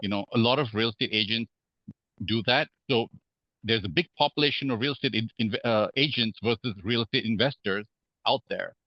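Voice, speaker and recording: Podcast guest, man from Hong Kong, low voice, slightly dull sound. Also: no room sound. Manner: measured, many fillers and restarts. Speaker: guest real estate investor. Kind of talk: podcast interview.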